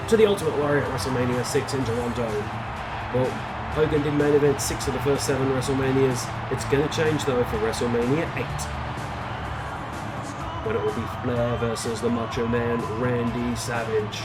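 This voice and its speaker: A man's voice: medium pitch, fairly even intonation, moderate pace, loud music behind the voice, low-quality recording. A man giving casual, informative gameplay commentary over game audio. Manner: informative, trivia-heavy recapping.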